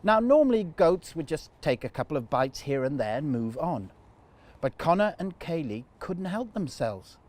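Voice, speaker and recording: A man telling a children's story in an animated storytime narration style. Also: on the high side, moderate pace.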